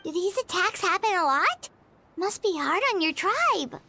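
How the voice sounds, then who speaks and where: A woman voicing a tiny, chirpy, excitable childlike fairy companion in a video game, squeaky and eager. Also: a little slow, slight background noise, heavily acted. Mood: relieved, curious.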